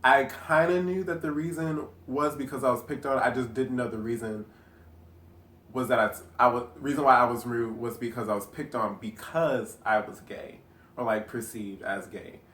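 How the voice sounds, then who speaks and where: A man's voice: mid-range voice, fairly even intonation, normal speed. Twenty-something guy, candid and wry, roomy far-off sound. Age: 20s. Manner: candid, wry, trailing off softly. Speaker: interviewee. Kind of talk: casual interview answers. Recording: roomy echo, from a distance.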